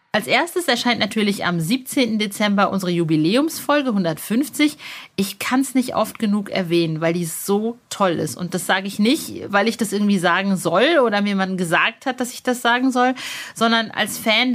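A woman's voice: medium pitch, fairly bright tone. A woman hosting a podcast in a chatty, informal and enthusiastic way, speaking directly to listeners. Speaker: podcast host.

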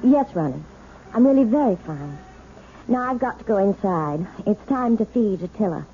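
A woman's voice: mid-range voice, moderate pace, lightly acted. Woman playing a sweetly sinister lady. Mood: polite. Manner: sweet, sing-song, with an eerie calm. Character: genteel woman with a sinister secret. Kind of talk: 1970s radio drama acting. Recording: lo-fi 1970s radio broadcast with hiss.

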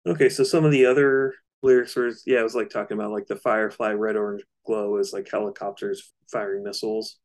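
Low-pitched man in casual music discussion. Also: steady tone, fairly quick, few pauses, a little mellow. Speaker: music discussion podcast co-host.

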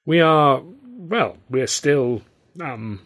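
A man's voice: fairly high pitch, sing-song, extremely slow, a bit bright, pitched above the performer's own voice, exaggerated voice. A man voicing a wry, resentful English vaudevillian with a pointed manner, in an audiobook. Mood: surprised, awkward.

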